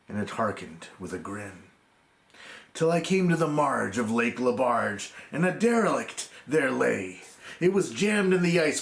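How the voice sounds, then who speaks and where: A man's voice: mid-range voice, vocal fry, a bit bright. Man dramatically reciting poetry, echoey room. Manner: theatrical, measured phrasing with dramatic pauses. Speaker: poem reader.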